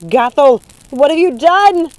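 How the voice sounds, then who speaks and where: A woman's voice: on the low side, lively intonation, normal speed, darkish tone, no echo, lightly acted. A woman voicing a cruel, possessive witch mother in an audiobook, scornful, commanding and theatrical. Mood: panicked, outraged. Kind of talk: audiobook character acting.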